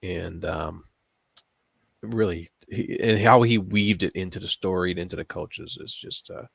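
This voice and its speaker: A man with a low voice shares his thoughts in a casual book discussion. He is reflective and halting, searching for words, and the sound is dry without room echo. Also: wildly swooping pitch, gravelly, slightly rough.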